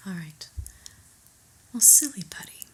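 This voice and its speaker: A woman whispering an ASMR roleplay as an unimpressed new office boss, deliberate, mildly stern and deadpan, in a breathy voice. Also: a little hiss or hum.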